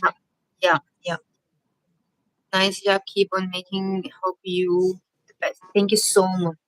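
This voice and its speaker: A woman's voice: medium pitch, little pitch movement, slow pace, rough recording. A woman from Egypt hosting a casual live-stream Q&A, chatting and reading viewers' comments aloud with lots of fillers. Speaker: vlog host.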